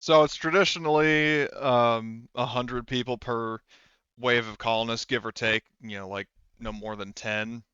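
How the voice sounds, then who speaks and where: A man's voice: mid-range voice, steady tone, a little slow, sharp tone, clear, resonant tone, no echo, lo-fi sound. A man acting as game master, explaining the game world to players in a hesitant, explanatory way. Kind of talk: improvised tabletop game narration and explanation.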